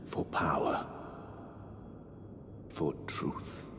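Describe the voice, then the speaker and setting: An actor playing a courtly sorcerer-priest in a fantasy game, musing in slow, ornate, theatrical phrases. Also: male voice. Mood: wry, probing. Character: grandiose, courtly elder sorcerer-priest, rapturous and brooding. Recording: echoing hall reverb, background noise.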